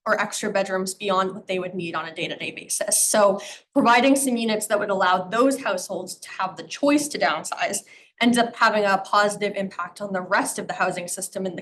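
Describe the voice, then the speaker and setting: A woman presenting a housing plan to the public, a little hoarse from a cold, explaining numbers with frequent ums and uhs. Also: mid-range voice, a bit bright, a little hiss or hum. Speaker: town planner presenting a comprehensive plan.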